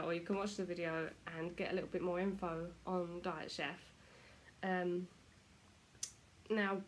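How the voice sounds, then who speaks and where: A British woman chatting casually to camera at home, self-deprecating, her nose stuffy from a cold. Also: fairly low pitch. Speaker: vlogger.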